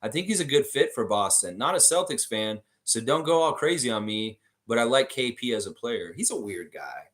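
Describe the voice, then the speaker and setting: A man talks casually on a live stream, upbeat and rambling. He reads viewer comments aloud and answers each one, his voice at an ordinary middle pitch. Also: lively intonation, moderate pace, few pauses, fairly bright tone. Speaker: host of a fan live stream.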